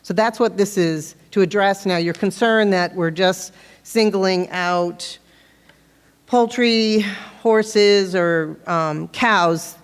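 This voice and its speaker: A woman explaining a town bylaw at a public meeting, speaking loudly and earnestly into a handheld microphone. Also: mid-range voice, slow pace, clean tone. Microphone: handheld microphone, sometimes held off-axis. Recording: long reverb of a meeting hall.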